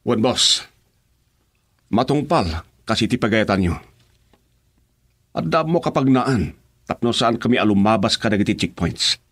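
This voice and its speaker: A man's voice: on the low side, animated, a little slow, pauses often, a bit bright. An actor playing a cocky henchman who obeys his boss in a radio drama, with a Filipino accent. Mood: obedient. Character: cocky, obedient male henchman of a crime boss.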